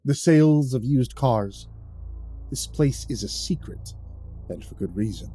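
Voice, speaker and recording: Tough older man, Eastern European accent, stern and deliberate, acted by a male storyteller. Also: medium pitch, very animated, a little mellow, faint music behind, natural voice. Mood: grave, scornful. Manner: formal, deliberate phrasing.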